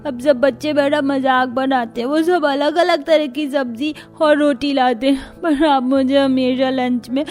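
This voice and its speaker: A woman's voice: sits high, steady tone, a little slow, few pauses, darkish tone. A woman voicing a sulky, defiant little schoolboy in a cartoon family story, with music behind. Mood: whiny complaint. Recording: music under the voice.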